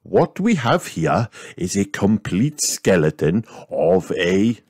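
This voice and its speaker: A man's voice: deep voice, sing-song, takes it slowly, darkish tone, no room sound, clean studio sound, pitched above the performer's own voice, full character voice. A man voicing a cheerful grown male rabbit who announces things formally in a storybook reading. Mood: proud.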